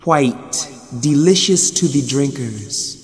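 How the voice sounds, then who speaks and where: A man solemnly reading translated scripture aloud in measured, deliberate phrases. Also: mid-range voice, lively intonation. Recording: long cavernous studio reverb.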